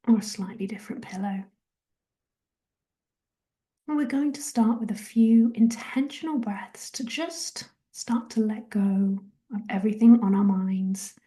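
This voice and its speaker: A woman guides a meditation in a gentle, half-whispered voice. Her tone is extremely soft-edged and warm, with no brightness at all. She moves very slowly through each soothing instruction, at a medium pitch for a woman. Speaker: guided relaxation and meditation host.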